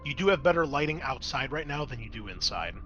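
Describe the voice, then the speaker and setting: A man's voice: mid-range voice, very animated, quick pace, bright, forceful tone, highs cut off, soft background music. A man acting as game master, explaining a tabletop roleplaying scene in a casual, conversational way.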